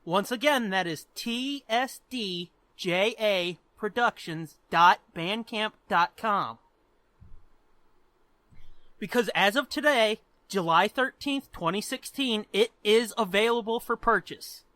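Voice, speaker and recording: High-voiced bright podcast host. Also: male voice, takes it slowly, no room sound. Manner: profane, rambling and earnest. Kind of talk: casual podcast chat.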